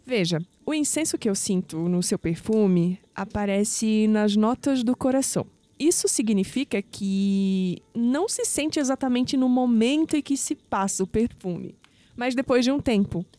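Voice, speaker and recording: Woman acting coy young Brazilian woman, mellow voice. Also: fairly high pitch, expressive, a little slow, no echo. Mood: poised, explaining. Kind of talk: audio drama acting.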